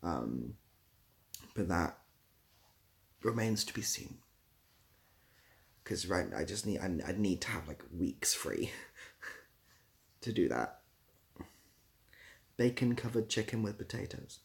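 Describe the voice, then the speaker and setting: A man's voice: sits low, very animated, takes it slowly, vocal fry, long pauses. A young British man chatting casually on a livestream, rambling a little and dryly playful as he responds to viewers. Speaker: live streamer. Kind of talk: casual livestream chat, answering viewer comments.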